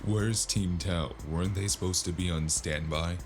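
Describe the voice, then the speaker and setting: A man with a gravelly voice narrating a cyberpunk noir audio drama in the first person, as a weary, wry gunman. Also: deep voice, moderate pace. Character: weary, wry male cyberpunk gunman telling his own story. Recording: music under the voice.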